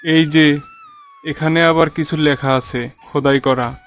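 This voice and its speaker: A man plays an earnest young adventurer in a cartoon fairy tale, full of wonder. The voice is fairly high for a male and very deliberate in pace, over light music. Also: fairly even intonation, a bit bright. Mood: intrigued.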